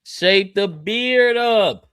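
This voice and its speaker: A man's voice: high voice, expressive, very slow, fairly bright tone, clear, resonant tone, no echo, studio quality. An American man of thirty co-hosting a livestream with casual, joking chat, boisterous and slangy, repeating phrases for emphasis. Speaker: livestream co-host.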